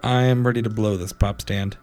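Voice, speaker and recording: A man's voice: on the low side, fairly even intonation, brisk, a little mellow, soft background music. A young man playing a tabletop game, brash and joking, swearing freely. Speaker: player.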